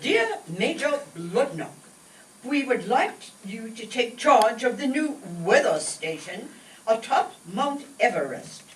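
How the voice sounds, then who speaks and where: A woman playing an excitable, obedient male servant in an amateur radio comedy performed on stage. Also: on the high side, slow. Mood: deferential. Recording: echoey, distant stage recording with clear noise.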